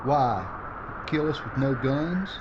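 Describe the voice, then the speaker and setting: An actor playing a gruff, wisecracking male frontier lawman in a Western audio drama, with a dry, drawling delivery. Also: mid-range voice, expressive, slow pace. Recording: muffled lo-fi, loud hiss.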